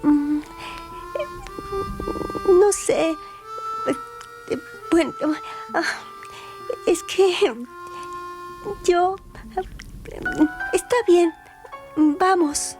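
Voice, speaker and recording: A woman's voice: extremely high pitch, steady tone, music in the background, pitched above the performer's own voice, heavily acted. A woman voicing a shy, innocent little girl in a radio drama, hesitant and unsure. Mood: hesitant, timid. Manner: hesitant, halting.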